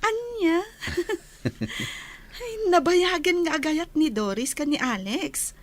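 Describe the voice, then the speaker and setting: A woman's voice: sits high, expressive, takes it slowly. A woman playing a sweet, playful village sweetheart in a radio comedy drama. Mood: surprised, playful. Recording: music under the voice.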